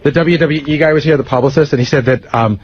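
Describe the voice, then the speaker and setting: A man's voice: on the high side, fast talker. An American man on a radio show staff, telling things in casual chat. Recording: muffled, noisy radio recording.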